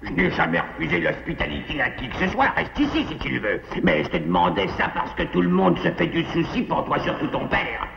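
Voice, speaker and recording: Gravelly, pompous cartoon animal rogue. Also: male voice, on the high side, huge pitch swings, a little fast, few pauses, highs cut off, background noise, exaggerated voice. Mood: magnanimous. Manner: pompous, courteous, theatrical. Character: dignified, loyal rogue animal friend, proud and formal. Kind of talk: cartoon dubbing in French.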